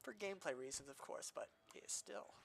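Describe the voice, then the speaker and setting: A man's voice: a little high, expressive, a little fast, vocal fry, a bit bright, slight background noise. A young man streaming a game, chatting casually in a rambling, self-deprecating way with many fillers, his voice slightly nasal from allergies. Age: young adult.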